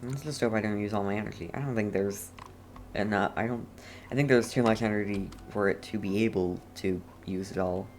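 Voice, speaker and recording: A woman's voice: rumbling low, a little fast, darkish tone. A woman giving casual, playful commentary as she streams a game, muttering reactions to herself. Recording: faint noise, keyboard typing behind. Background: keyboard typing.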